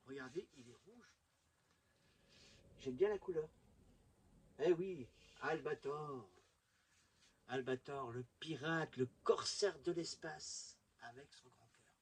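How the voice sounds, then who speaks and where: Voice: mid-range voice, a little hiss or hum. A YouTube host casually showing off a collection in a chatty, rambling, enthusiastic fan's way. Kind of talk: casual collection show-and-tell.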